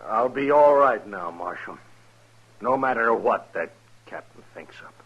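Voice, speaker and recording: An actor playing a wary, agitated soldier on the run, halting and intense, in a 1950s radio western. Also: male voice, medium pitch, lively intonation. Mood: subdued, grateful. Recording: muffled, noisy old radio recording.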